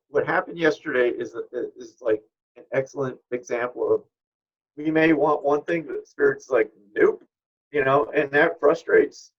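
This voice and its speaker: A middle-aged man with a gravelly, husky voice, talking reflectively and informally as an interview guest, in a modest, self-deprecating way. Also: mid-range voice, lo-fi sound. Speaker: guest, spirit medium.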